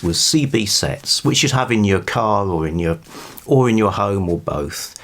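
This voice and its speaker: British guy doing a casual book vlog, lowish voice, really slow and halting, bit wry and thoughtful. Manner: halting, thoughtful, wryly amused. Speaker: book review vlogger. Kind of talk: rambling, informal book talk to camera.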